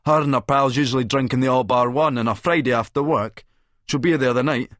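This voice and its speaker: A man's voice: medium pitch, fairly even intonation, a little fast, a bit bright, dry recording, clean studio sound, voice pushed up, lightly acted. A man voicing a cocky, scheming, drug-fuelled Scottish wide boy with sly, cheeky patter in an audiobook. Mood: cocky, manic.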